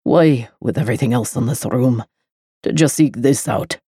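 A woman voicing a brooding, possessive man, speaking in a low, slow, commanding murmur. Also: mellow, breathy edge, no room sound, clean studio sound, exaggerated voice. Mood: grave, tender. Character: brooding possessive man, dark, ageless lover. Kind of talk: audiobook character voice.

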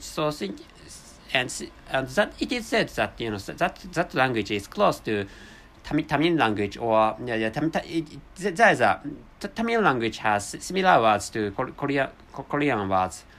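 A man with a Japanese accent, a native Japanese speaker, talking unscripted in a second language, halting and searching for words as he corrects himself. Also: medium pitch, a bit bright. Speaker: vlogger.

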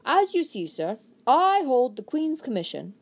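A woman voicing a proud, theatrical male actor who takes command with grand, booming delivery. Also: sing-song, moderate pace, a little mellow. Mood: grave.

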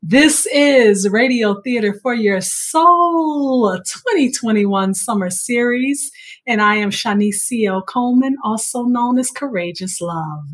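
A woman's voice: a little high, lively intonation, a little slow. A woman hosting a podcast, interviewing a guest and addressing her listeners. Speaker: podcast host.

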